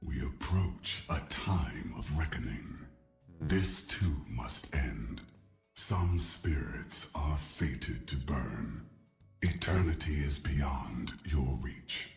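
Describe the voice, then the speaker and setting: A man voicing an ancient, stoic jackal-headed god-warrior in a video game, solemn and measured, with a processed, otherworldly voice. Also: lively intonation, gravelly, soft background music, heavily acted. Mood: grave, ominous. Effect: deepened, processed monster-like voice. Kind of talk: scripted video game champion lines.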